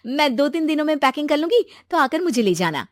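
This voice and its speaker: A woman's voice: sits high, very animated, fast, no echo, clean studio sound, pitched above the performer's own voice. A woman voicing an outspoken, playful young woman about to marry, in a cartoon story. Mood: chirpy. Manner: chirpy, playful. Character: lively, outspoken young bride-to-be.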